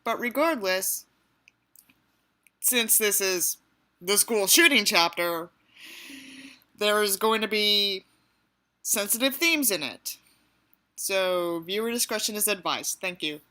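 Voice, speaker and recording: A woman's voice: medium pitch, lively intonation, slow pace, pauses often, pushed and bright. A woman reading a book aloud as its narrator and commentator, theatrical and sardonic, with a sarcastic, sweary streak. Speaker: audiobook reader and commentator.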